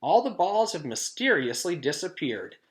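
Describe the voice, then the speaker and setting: A man's voice: high voice, sing-song, moderate pace, pitched above the performer's own voice. A man voicing a playfully coy grown-up at a school playground, asking teasing questions in a children's story.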